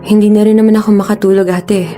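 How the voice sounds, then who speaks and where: A woman's voice: mid-range voice, level tone, fast talker, warm tone, soft background music, lightly acted. Young student little sister played by a woman. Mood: subdued, apologetic. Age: young adult. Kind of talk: radio drama acting.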